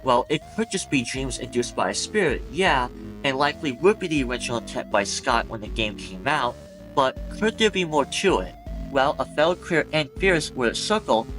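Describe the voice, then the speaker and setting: A man's voice: medium pitch, sing-song, normal speed, low-quality recording. A man hosting a game theory video, narrating a scripted but conversational essay and putting rhetorical questions to the viewer. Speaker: YouTube game-lore theorist and host. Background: background music.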